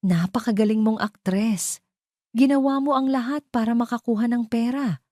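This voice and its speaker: A woman's voice: a little high, moderate pace, dark tone, dry recording, pitched above the performer's own voice, close to an everyday voice. Audio drama lead, acted by a female narrator: bitter, cold-hearted rich boss, scornful and accusing, dry close sound. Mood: cold anger. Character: cold, bitter, betrayed male billionaire boss.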